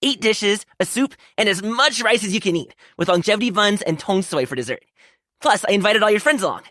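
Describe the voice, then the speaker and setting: A man's voice: high-pitched, lively intonation, a little fast, sharp tone, no echo, studio quality. A man voicing an eager, good-natured young male wushu dancer and guard in a video game, talking in a friendly, slangy, boyish way. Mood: warm, excited. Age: young adult. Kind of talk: video game character voice lines.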